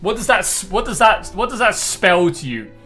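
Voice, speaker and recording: A man's voice: high-pitched, big pitch swings, moderate pace, sharp tone. A man giving casual live game stream commentary in a blunt, slangy, sweary way. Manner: blunt, profane, slangy ranting. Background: faint game music.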